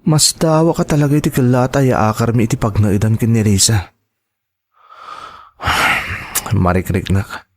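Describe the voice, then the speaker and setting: An actor playing a troubled, suspicious husband in an Ilocano audio drama, questioning and emphatic. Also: male voice, fairly low pitch, a little slow, pauses often. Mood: suspicious, brooding.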